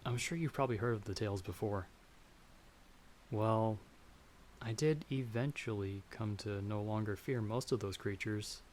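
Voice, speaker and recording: A man's voice: lowish voice, moderate pace, a little mellow, slight background noise, natural voice. A man playing a timid, polite young farmer in an ASMR fantasy roleplay, speaking hesitantly and a little formally. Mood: nervous, polite. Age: young adult. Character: timid, polite young male farmer traveler, easily frightened. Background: stormy night ambience.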